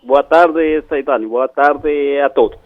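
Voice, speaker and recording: A man from Venezuela with a Spanish accent, a professor interviewed by phone, haltingly searching for words. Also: fairly high pitch, no room sound. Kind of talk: explanatory interview answers. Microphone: phone call. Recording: muffled phone line.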